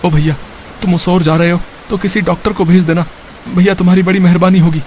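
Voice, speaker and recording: A man's voice: sits high, fairly even intonation, fast talker, darkish tone, close to an everyday voice. Guy acting a poor worried village dad, earnest, cartoon story, noisy background. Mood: pleading. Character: poor worried labourer father, humble and anxious. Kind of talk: Hindi cartoon story character voice.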